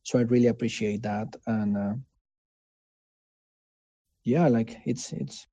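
Man, Mexican accent, native Spanish speaker, creaky voice, close dry sound, earnest halting interview talk. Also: mid-range voice, steady tone, dark tone, dry recording. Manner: earnest, halting, often seeking agreement. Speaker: interviewee, game developer. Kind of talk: casual interview answers.

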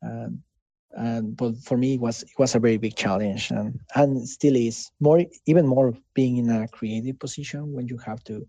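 Gravelly, mellow man with a Mexican accent. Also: mid-range voice, fairly even intonation, dry recording. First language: Spanish. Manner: earnest, halting, often seeking agreement. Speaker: interviewee, game developer. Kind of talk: casual interview answers.

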